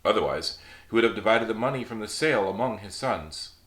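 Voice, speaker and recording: A man hosting a storytelling show, reading a folk tale aloud in a relaxed, chatty way. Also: lowish voice, fairly quick, reverberant. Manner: relaxed, chatty, reads aloud with small slips and laughs. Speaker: storyteller host.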